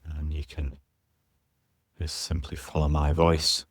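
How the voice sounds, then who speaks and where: Male yoga teacher for guided relaxation: very low pitch, soothing, measured delivery with pauses. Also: completely flat delivery, slightly rough, dry recording, studio quality. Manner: soothing, unhurried, pausing between short phrases. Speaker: yoga nidra teacher guiding a meditation. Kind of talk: guided meditation instruction.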